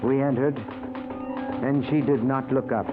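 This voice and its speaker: Music plays clearly behind a narrow vintage radio recording. A man acts as an anguished ghost recounting his death with desperate, dramatic intensity, in the style of 1940s radio. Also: mid-range voice, steady tone, slow pace, natural voice. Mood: haunted, despairing. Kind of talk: 1940s radio acting, first-person narration.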